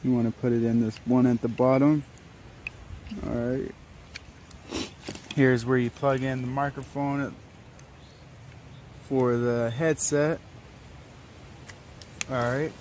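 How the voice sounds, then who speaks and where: A man's voice: medium pitch, little pitch movement, slow pace, pauses often, a little mellow. A man giving a casual product demonstration as he unboxes, recorded outdoors from a step or two away. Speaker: product reviewer. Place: outdoors, wooded yard. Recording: faint outdoor background noise.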